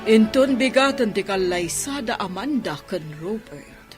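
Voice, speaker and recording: A woman's voice: medium pitch, lively intonation, unhurried, music in the background, close to an everyday voice. A woman acting in a village family melodrama for radio, with an Ilocano Filipino accent. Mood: firm.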